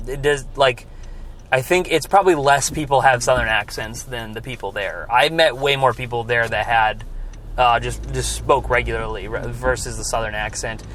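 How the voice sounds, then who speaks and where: A man's voice: medium pitch, a bit bright. A young man co-hosting a casual podcast, rambling and trailing off with lots of filler words. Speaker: podcast co-host. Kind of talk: casual chat in a car. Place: inside a car. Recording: road noise in a moving car. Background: car and traffic noise.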